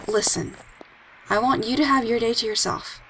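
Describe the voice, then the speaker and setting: A woman's voice: on the high side, normal speed, a bit bright, poor audio. Woman acting a stubborn, indignant teenage girl. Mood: exasperated. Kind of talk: audiobook drama acting. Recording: faint background noise.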